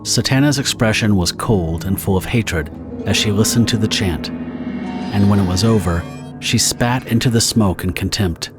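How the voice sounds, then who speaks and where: Low-voiced male story narrator over music. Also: moderate pace. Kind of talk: scripted dramatic story narration.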